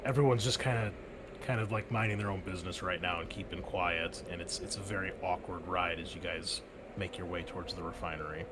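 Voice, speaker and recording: A man's voice: low-pitched, fairly even intonation, brisk, noisy background. A man narrating as game master of a tabletop role-playing game, rambling a bit with fillers as he describes the scene. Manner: loose, rambling with many fillers.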